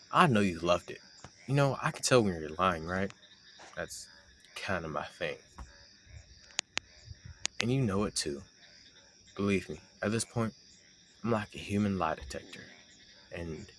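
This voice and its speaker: A man's voice: sits low, fairly bright tone, slight background noise, full character voice. A young man playing a playful, teasing roommate with a hidden crush, chatting casually and sarcastically to the listener in an intimate roleplay audio. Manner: teasing, conversational, sarcastic banter.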